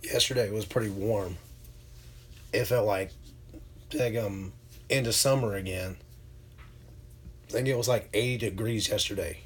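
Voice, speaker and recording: A hoarse man from Alabama, casually chatting with viewers on his livestream and answering their comments, with lots of ums and uhs. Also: fairly low pitch. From Alabama, USA. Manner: relaxed, drawn-out with many fillers. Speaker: livestream host.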